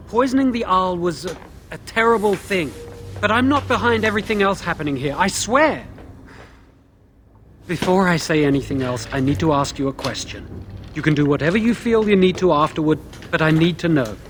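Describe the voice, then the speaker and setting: An actor playing an anxious, guilt-ridden young mage prisoner in a fantasy video game, earnest and pleading. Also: male voice, a little high, animated, moderate pace, a bit bright, faint music behind. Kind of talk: dramatic fantasy game dialogue.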